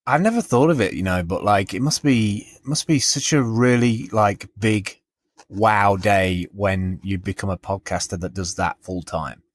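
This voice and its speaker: A man's voice: fairly low pitch, normal speed, no echo, studio quality. A British man co-hosting a podcast, chatting loosely and rambling with restarts and frequent laughs. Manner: rambling, self-interrupting, laughs often. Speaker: podcast co-host. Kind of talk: casual chat.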